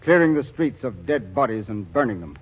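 An actor playing a hardened, blunt army captain working famine relief, grim and weary, in a 1940s radio drama. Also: male voice, mid-range voice, sing-song, brisk, breathy edge. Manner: blunt, grimly weary, callous. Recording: dull old radio recording.

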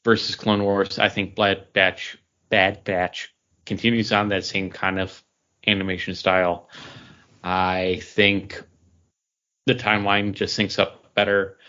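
A man's voice: deep voice, flat delivery, slow, sharp tone, muffled recording. A man co-hosting a casual geek-culture chat, talking like a keen fan and correcting himself as he goes. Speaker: podcast co-host.

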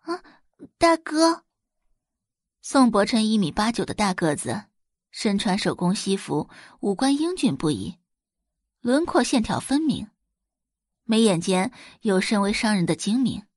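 Female narrator, scripted audio drama, expressive storytelling, animated intonation, mid pitch, dry studio-close sound. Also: unhurried, no echo.

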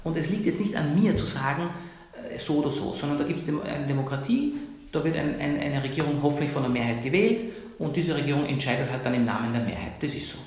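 A man from Iran who is a doctor, arguing emphatically as an interview guest, often ending points with a quick tag question. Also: fairly high pitch, a little mellow. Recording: very echoey room.

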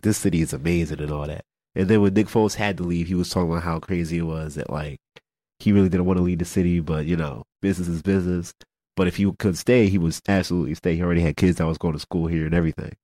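Congested man with a deep voice. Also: darkish tone, dry recording, studio quality. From Philadelphia. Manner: halting, many repeated words and fillers. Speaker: podcast host. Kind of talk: casual radio-style chat.

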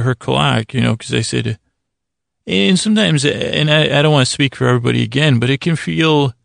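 A man with a heavily fried, gravelly voice hosts a bedtime podcast in a dry, echo-free recording. His pitch sits in the middle range. He is gentle and soothing, wandering and stumbling through halting, self-interrupted thoughts meant to help people drift off. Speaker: podcast host. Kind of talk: rambling bedtime monologue to lull listeners to sleep.

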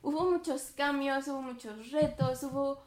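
A woman's voice: sits high, normal speed, a little mellow, echoey room. A woman, hosting a podcast in a chatty, reflective way, with lots of fillers and little laughs. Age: 20s. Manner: chatty, many fillers and self-conscious laughs. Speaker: podcast host. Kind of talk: reflective casual monologue.

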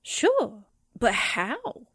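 A woman performs an eager girl-reporter sidekick from a 1940s radio mystery. The voice is high and bounces around a lot, excitable and slangy, in a dry recording. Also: very slow, breathy edge, no echo, full character voice. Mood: eager, impatient. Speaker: actor in an amateur radio drama re-creation.

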